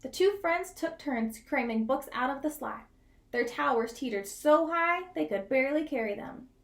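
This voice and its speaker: A woman reading a picture book aloud as a storyteller, expressive, with dramatic pauses and drawn-out key words. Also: high voice, vocal fry. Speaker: children's read-aloud storyteller.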